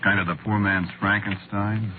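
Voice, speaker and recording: An American actor playing a wry, put-upon screenwriter in a 1940s radio drama, talking in a halting, conversational, sardonic way. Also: male voice, on the low side, level tone, natural voice. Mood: wry, confiding. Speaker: narrator and lead actor. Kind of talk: 1940s radio acting, conversational first-person narration. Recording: muffled, noisy old radio recording.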